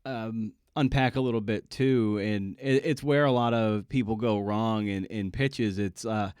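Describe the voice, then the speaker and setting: A man with a fairly low, smooth voice, recorded close and dry. He hosts a casual interview, working toward his point in wordy, halting phrases. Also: steady tone, darkish tone, no echo. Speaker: podcast host, interviewer.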